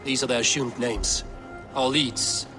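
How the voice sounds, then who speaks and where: An actor playing a grave, steady Viking warrior on the hunt for a secret order in a video game cutscene. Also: male voice, mid-range voice, a little slow, over music, lightly acted. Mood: grave, determined.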